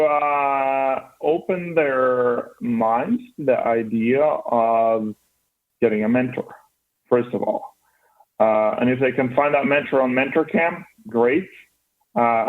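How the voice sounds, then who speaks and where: Norwegian guy in his 30s, earnest founder, lots of uhs and restarts, phone-line sound. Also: mid-range voice. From Norway. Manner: earnest, with frequent restarts and fillers. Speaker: startup founder guest. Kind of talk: radio interview answers. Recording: band-limited phone line.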